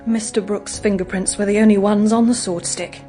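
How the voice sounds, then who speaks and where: An actress playing a gentle, guarded young English woman in a radio drama, speaking in an earnest, hesitant, confiding way. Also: mid-range voice, fairly even intonation, normal speed, faint music behind, natural voice. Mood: earnest, anxious.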